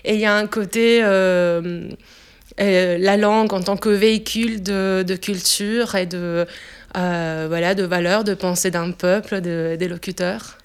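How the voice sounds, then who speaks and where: This is a woman in her twenties whose first language is Italian, speaking French with an Italian accent. Her voice is smooth and clear. She talks at a relaxed, searching pace, often pausing on fillers as she gathers her thoughts. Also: mid-range voice. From Italy. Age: 20s. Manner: hesitant, many filler pauses. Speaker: interviewee, French teacher. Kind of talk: casual interview answers.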